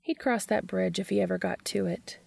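A woman's voice: mid-range voice, steady tone, moderate pace, vocal fry, darkish tone, husky, no echo, faint music behind. A woman reading scripted fiction as a podfic narrator.

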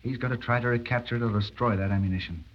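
An actor playing a resolute, self-sacrificing army captain in a 1930s radio drama, clipped and decisive. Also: male voice, mid-range voice, fairly even intonation, rapid-fire, lightly acted. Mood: determined. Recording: dull 1930s radio broadcast recording.

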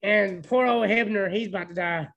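A man's voice: high-pitched, moderate pace, fairly bright tone, lo-fi sound. A man in his late thirties talking casually as a podcast co-host while watching wrestling. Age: late 30s.

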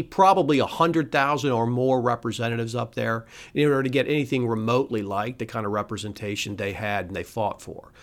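A middle-aged man hosting a podcast, delivering a solo commentary full of sarcastic rhetorical questions and scoffing laughs. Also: medium pitch. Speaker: podcast host. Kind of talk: solo political commentary monologue.

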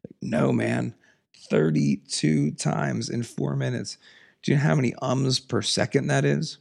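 A man hosting a solo podcast, raspy from a cold, talking in an earnest, emphatic, passionate way. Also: animated, measured pace, a little mellow. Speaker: podcast host. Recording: lower audio quality.

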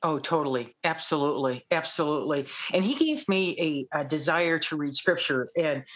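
A woman guest sharing her faith story in casual conversation, earnest and grateful, at times reading scripture aloud slowly. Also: deep voice. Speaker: guest, radio producer.

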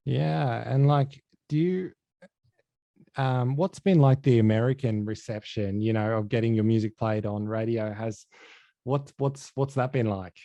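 A man interviewing a guest, working his questions out slowly with many fillers and restarts. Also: medium pitch, fairly even intonation, gravelly, dark tone, no room sound. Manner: rambling, halting, heavy with fillers and restarts. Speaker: podcast interviewer. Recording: dull, dry sound.

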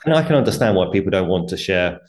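A man's voice: low-pitched, animated, fast talker, a little mellow. A man, a guest author, explaining money habits earnestly in a conversational interview, with light laughs.

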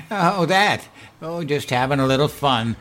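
An actor playing a folksy older grandfather in an audio drama, genial and halting, with something sinister underneath. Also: a little high, expressive, a bit bright, slight background noise. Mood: chuckling, evasive.

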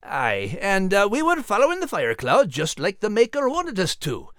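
A man's voice: sits high, wildly swooping pitch, moderate pace, fairly bright tone, no room sound, clean studio sound, voice pushed up, exaggerated voice. Man doing Max, a blustery Scottish animal adventurer. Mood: hesitant, earnest. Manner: hesitant, rambling, folksy.

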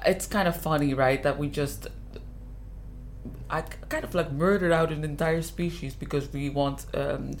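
A woman's voice: deep voice, normal speed, a little hiss or hum. A woman from the Netherlands guesting on a podcast, chatting frankly with lots of giggles. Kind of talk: casual chat. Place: studio.

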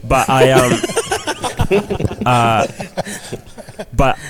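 A man with a New Zealand accent talks on a podcast as a guest comedian. His voice sits at an ordinary middle pitch. He speaks frankly, swears freely, and trails into restarts as he rambles through his point. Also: animated, very slow, over a noisy crowd. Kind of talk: casual podcast chat.

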